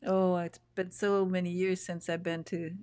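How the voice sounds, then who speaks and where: A woman host with a slightly low voice on a close, dry mic. She chats effusively and drifts into fond memories as she asks questions. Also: flat delivery, moderate pace, darkish tone, smooth, no room sound. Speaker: show host. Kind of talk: casual interview chat. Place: home in Texas. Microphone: video call.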